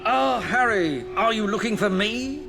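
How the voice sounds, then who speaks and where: High, plummy English gentleman ghost. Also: expressive, unhurried, gravelly, noisy background, exaggerated voice. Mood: genial, wistful. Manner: genteel, formal. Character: courteous, theatrical old ghost of a gentleman. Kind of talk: video game cutscene acting. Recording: game music behind the voice.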